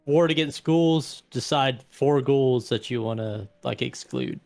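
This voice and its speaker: A man casually narrating a tabletop game in a halting, informal way. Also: medium pitch, creaky, a little mellow, soft background music.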